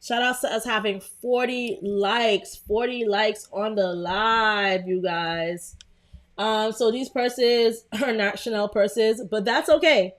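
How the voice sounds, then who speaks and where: A woman's voice: on the high side, takes it slowly. A young woman with a Brooklyn accent hosting a casual live design tutorial, chatty and full of fillers as she greets viewers. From Brooklyn, New York. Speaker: livestream host.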